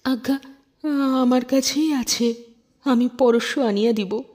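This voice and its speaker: A woman's voice: on the high side, steady tone, slow, darkish tone. A woman voicing a humble old male servant from a storybook, pleading reverently and tearfully. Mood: remorseful, humble.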